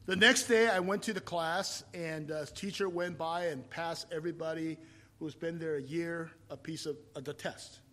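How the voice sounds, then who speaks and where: A man in his fifties, from Taiwan, preaching a sermon and telling his own story, rising to loud emphatic points and falling back to quiet, reflective storytelling. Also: on the high side, fairly even intonation, normal speed. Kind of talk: sermon with personal testimony.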